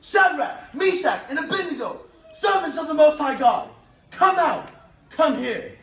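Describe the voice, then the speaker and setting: Man acting an excitable high-voiced king. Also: measured pace, slight background noise, heavily acted. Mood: urgent calling. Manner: loud, declamatory. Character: amazed, excitable king proclaiming decrees. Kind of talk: dramatized Bible recitation. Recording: echoey hall, far from mic.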